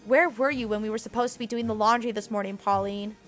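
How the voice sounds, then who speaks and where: A woman reads a protective, indignant housemaid in a story game. The voice is fairly high and firm, telling others off bluntly. Faint music runs underneath. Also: fairly even intonation, fast, close to an everyday voice. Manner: firm, reproachful lecturing. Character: indignant, blunt female maid, protective and stern.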